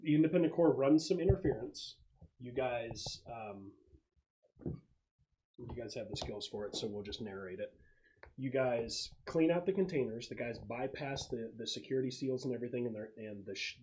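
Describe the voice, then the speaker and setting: A man runs a tabletop roleplaying game as its game master. His voice sits at a middling pitch. He explains the scene casually, making it up as he goes. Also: moderate pace, a little mellow, slight background noise, poor audio.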